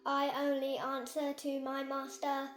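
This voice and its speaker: A woman's voice: high-pitched, completely flat delivery, a little slow, roomy sound, soft background music. A young girl voicing an obedient, literal male toy robot in a read-aloud storybook. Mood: formal, obedient. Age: child, about 6. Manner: repetitive, literal.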